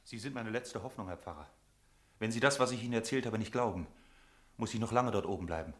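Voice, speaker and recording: A man acting a haunted smuggler in a radio play. The voice is fairly low. He speaks with earnest insistence, as someone pressing for help. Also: quick pace, fairly bright tone, natural voice. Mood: pleading, insistent. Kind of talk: German radio drama acting.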